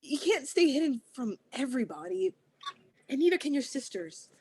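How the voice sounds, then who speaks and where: A woman's voice: high voice, sing-song, moderate pace, a little mellow. A woman reading an eager, pleading teen girl. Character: pleading scheming teenage girl. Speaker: actor in a table read. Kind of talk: table read acting.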